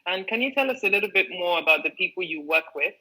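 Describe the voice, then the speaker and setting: A woman interview host with a Yoruba-inflected Nigerian English accent, speaking over a video call. She is chatty and playful and laughs easily. Her voice is bright and sits slightly low. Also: animated, fast, rough recording. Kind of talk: casual interview chat.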